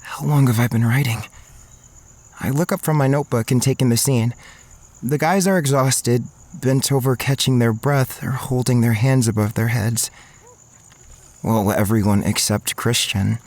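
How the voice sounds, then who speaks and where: A man's voice: medium pitch, steady tone, normal speed, darkish tone, dry recording, close to an everyday voice. An insecure, dreamy young man played by an actor tells his own story as audio drama narration. He sounds earnest and introspective, in a mid-range voice. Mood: dreamy, envious. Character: self-conscious, dreamy, insecure young male student writer.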